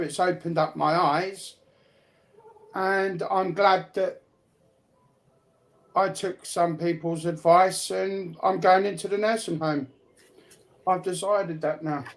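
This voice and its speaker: A man's voice: high-pitched, steady tone, slow pace, pauses often. A man chatting casually on a livestream panel, talking in a rambling way and repeating himself for emphasis.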